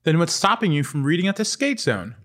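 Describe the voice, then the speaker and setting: A doting, slightly weary mom, played by a man, in a comedy script read. The voice sits fairly high and moves in animated swoops, with a wry touch. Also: brisk. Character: affectionate, weary mother of two children.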